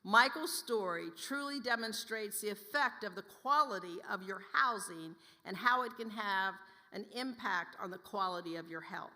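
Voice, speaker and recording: A woman's voice: medium pitch, normal speed, few pauses, bright, forceful tone. A woman reading a scripted introduction as a presenter at an event. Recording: long hall reverb.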